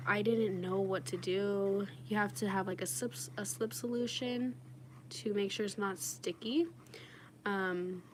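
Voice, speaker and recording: Raspy woman chatting over background noise. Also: medium pitch. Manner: informal, frequent "like". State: slightly under the weather. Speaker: nail tutorial livestreamer. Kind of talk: casual live chat while demonstrating. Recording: clearly noisy home livestream recording. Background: washing machine noise.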